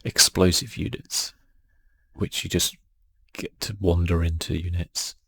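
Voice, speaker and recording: Deep, gravelly, husky man, hushed. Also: fairly even intonation, unhurried. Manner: casual, musing, thinking aloud. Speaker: gaming channel host. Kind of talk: ASMR video game commentary.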